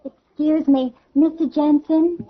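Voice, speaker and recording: A courteous restaurant attendant of radio drama, speaks formally in a high-pitched voice. The recording is thin and phone-like. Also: female voice, fairly even intonation, slow, faint music behind.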